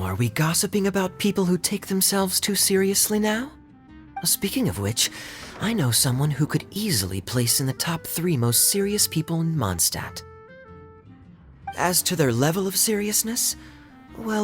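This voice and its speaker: Game casting: a teasing, silver-tongued young knight with a lively delivery. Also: male voice, on the high side, normal speed. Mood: sly. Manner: playful, smooth-talking tease. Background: faint background music.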